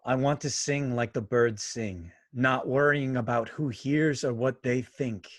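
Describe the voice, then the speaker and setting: An actor playing a proud, wounded male fairy, centuries old, with a husky voice, in a staged play reading. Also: medium pitch, a little slow, natural voice. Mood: yearning. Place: remote staged reading over video call.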